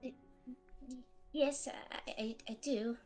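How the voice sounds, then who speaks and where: A woman acts out a timid young boy demigod in a fantasy audio drama. The voice is high and slow, with hesitant, stammering, apologetic phrasing. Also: fairly even intonation, a little mellow, faint music behind. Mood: nervous, apologetic.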